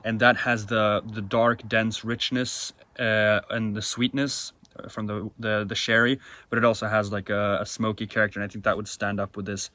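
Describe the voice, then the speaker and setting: A man's voice: on the low side, flat delivery, normal speed, pushed and bright, no echo. A young man co-hosting a cigar review show, chatting casually and talking through flavor notes with enthusiasm.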